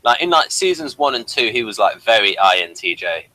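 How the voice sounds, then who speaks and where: A man co-hosting a casual TV episode review, talking in a halting, hesitant way. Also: fairly low pitch, sing-song, bright, forceful tone, poor audio. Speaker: review show co-host.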